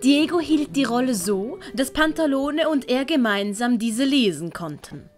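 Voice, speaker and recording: A woman's voice: high voice, expressive, unhurried, soft background music. A woman reading scripted story narration for an audio play.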